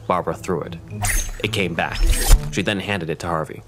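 Man, deep voice, scripted drama narration, measured storyteller, music behind. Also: fairly even intonation.

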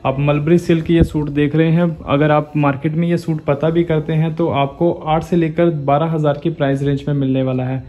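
Shop sales presenter, flat delivery, mellow dark tone, persuasive urging. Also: mid-range voice, fairly quick, hardly any pauses. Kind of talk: sales pitch.

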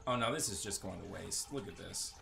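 A man's voice: low voice, brisk, vocal fry, background noise. A man giving casual gameplay commentary in a joking, sarcastic, crude way. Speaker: gaming streamer.

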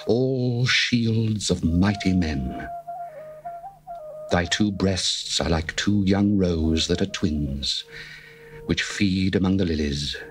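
A man playing a slow, devoted bridegroom. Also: on the low side, slight background noise. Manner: slow, measured, reverent delivery. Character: adoring male bridegroom, young adult, tender and devoted. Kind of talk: dramatized scripture reading.

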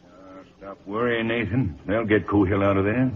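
An actor playing a blustery, loyal cavalry officer in a 1950s radio drama. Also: male voice, on the low side, fairly even intonation, moderate pace, lightly acted. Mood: reassuring. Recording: thin, phone-like vintage radio broadcast.

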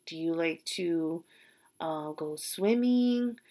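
A middle-aged woman teacher leading young children through a calm visualization exercise, talking slowly and checking in with "okay?" after each step, recorded on a laptop webcam in a small bedroom. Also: low-pitched. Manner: slow, soothing, with frequent "okay?" check-ins. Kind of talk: guided visualization for young students. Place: small bedroom at home, indoors. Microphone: laptop webcam mic.

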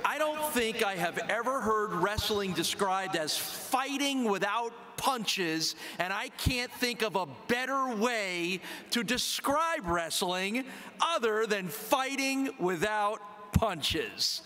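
A man's voice: high-pitched, lively intonation, takes it slowly, pushed and bright. A middle-aged man emceeing an awards ceremony, making upbeat, lightly joking remarks before introducing the next person. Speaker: master of ceremonies. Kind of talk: emcee remarks between speeches. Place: large banquet hall, at a podium. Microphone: podium microphone. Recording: long hall reverb at a ceremony.

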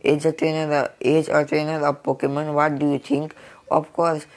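Man reading a calm game champion, hoarse, gravelly, flat, slow and halting. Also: on the high side. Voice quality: hoarse from a cold.

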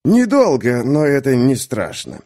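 A man's voice: mid-range voice, huge pitch swings, unhurried, mellow, exaggerated voice. A man voicing a proud, irritable mage with a dry, formal manner in an audiobook. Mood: composed. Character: proud, irritable male mage, stiffly polite.

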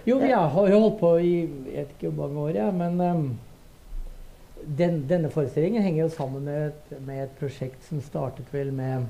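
Older Norwegian man, gravelly and mellow. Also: on the high side. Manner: halting, searching for words. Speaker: theatre director and co-writer. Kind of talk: unscripted onstage conversation. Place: on stage in a theatre, seated.

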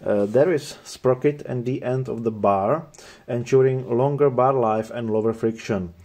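Male review narrator, mid-pitched, dark relaxed tone, matter-of-fact scripted delivery. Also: measured pace. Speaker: product reviewer.

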